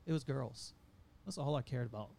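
A man's voice: mid-range voice, moderate pace, vocal fry, warm tone. A man casually sharing his faith story, candid and self-deprecating, with a slight stutter. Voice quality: mentions a stutter. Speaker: guest sharing testimony. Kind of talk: casual chat.

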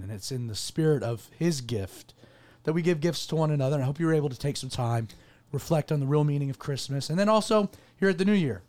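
A man's voice: medium pitch, a little mellow. A man hosting a radio show as a pastor, talking casually with fillers while his voice cracks from having lost it. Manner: good-humoured, rambling with fillers. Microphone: studio microphone.

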